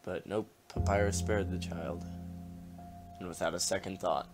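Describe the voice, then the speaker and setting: Man acting weary skeleton joker, deep voice, laid-back, haunted, comic dub, music underneath. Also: fairly even intonation, normal speed. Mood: somber.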